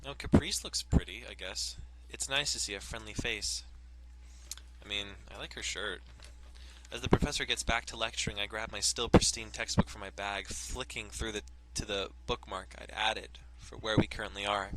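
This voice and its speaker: A man's voice: on the low side, normal speed, background noise. A man hosting a let's play, reading game text aloud and cracking crude jokes between lines. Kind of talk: casual gameplay commentary and reading aloud.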